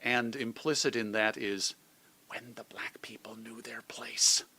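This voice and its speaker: A middle-aged man from suburban Chicago giving reflective, measured answers as an interview guest, carefully qualifying his points. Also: fairly low pitch, fairly even intonation, bright, forceful tone, slightly rough. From suburban Chicago, United States. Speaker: podcast guest, magazine editor and radio host.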